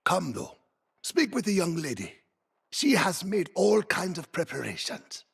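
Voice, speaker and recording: An actor playing a wry, worldly ally in a video game, theatrical and drawn-out, with a husky, creaky voice. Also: male voice, high voice, very animated, exaggerated voice. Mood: wry, musing. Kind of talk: video game character dialogue.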